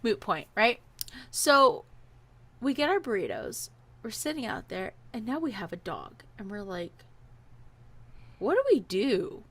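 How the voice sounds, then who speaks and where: A woman telling a personal story in a casual, animated, chatty way, acting out bits of dialogue as she goes. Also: medium pitch, vocal fry.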